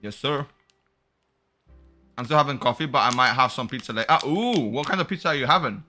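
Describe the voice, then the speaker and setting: Male game streamer: bright, forward voice, slangy off-the-cuff commentary, faint music underneath. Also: medium pitch, expressive, a little fast. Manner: informal, slangy. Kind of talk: casual live game commentary, reading dialogue aloud and chatting with viewers.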